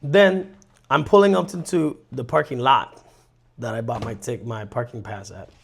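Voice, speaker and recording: Gravelly man in his thirties. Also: medium pitch, moderate pace. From Colombia. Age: 30s. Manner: rambling, animated storyteller with restarts. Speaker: podcast co-host. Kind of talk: casual chat.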